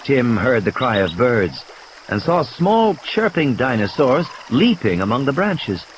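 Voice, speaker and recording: A man narrates an audiobook story in a scripted, dramatic style. His mid-pitched voice moves widely up and down, with faint noise and nature ambience behind it. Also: very animated, a little slow, rough recording.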